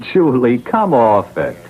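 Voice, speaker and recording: Skeptical, domineering counterfeiter, blunt street talk, lively intonation, lo-fi vintage radio drama. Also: male voice, mid-range voice, full character voice. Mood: disbelieving. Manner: blunt, colloquial, exasperated. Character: bossy, skeptical small-time counterfeiter husband. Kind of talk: classic radio drama acting.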